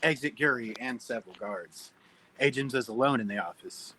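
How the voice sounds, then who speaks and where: A man's voice: medium pitch, normal speed, bright, forceful tone, slight background noise, low-quality recording. A man narrating stage directions from a script at a table read.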